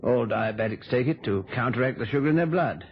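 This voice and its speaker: An actor playing a shrewd, coolly accusing amateur sleuth in a vintage radio drama. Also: male voice, mid-range voice, animated, brisk, slightly rough. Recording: dull vintage radio recording.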